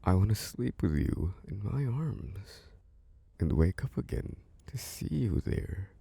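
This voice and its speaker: Deep, breathy, doting boyfriend voice, acted by a man. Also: mellow, dry recording, heavily acted. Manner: tender, coaxing, reassuring, murmured endearments. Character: doting, protective boyfriend soothing an overworked partner to sleep. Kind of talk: intimate ASMR boyfriend roleplay, sleep aid.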